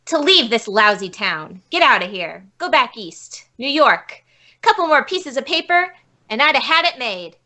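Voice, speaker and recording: A woman's voice: high-pitched, sing-song, a little slow, sharp tone, exaggerated voice. A woman playing a bitter, indignant forger, a failed actress who poses as an old lady, in a radio police drama. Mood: bitter, defeated.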